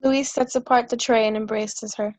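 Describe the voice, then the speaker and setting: A woman's voice: fairly high pitch, fairly even intonation, moderate pace, no room sound. A woman reading stage directions as scripted narration for a play reading.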